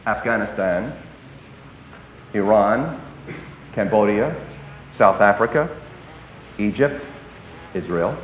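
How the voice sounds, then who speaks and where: A man preaching a sermon, swinging between emphatic declarations and hushed, dropped phrases. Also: on the low side, lively intonation, slow pace, long pauses, a little hiss or hum. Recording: echoey hall, tinny phone-like sound.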